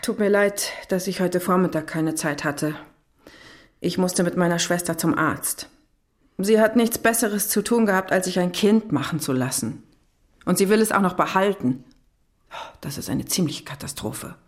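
A blunt cleaning woman played by an actress in a radio drama, with a lowish voice. She is weary but proud, plain-spoken and quick to mock herself. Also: lightly acted. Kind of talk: naturalistic radio drama dialogue.

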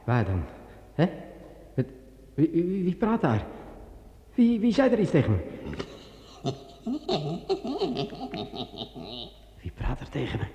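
Jittery man muttering in echoey dark. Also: fairly high pitch, wildly swooping pitch, muffled recording, slight background noise. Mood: nervous, uneasy. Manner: agitated self-talk, halting and repeating words. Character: jittery man with a sword alone in a dark cellar, muttering to himself. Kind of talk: radio drama monologue. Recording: echoey, with loud music behind.

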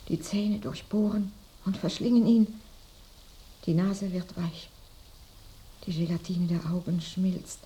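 Dying woman, slow feverish whisper. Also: on the low side, steady tone, warm tone. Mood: morbid trance. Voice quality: breathy whisper. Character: dying woman, feverish, sensual, lost in memories. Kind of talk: radio play acting. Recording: noisy binaural radio drama recording.

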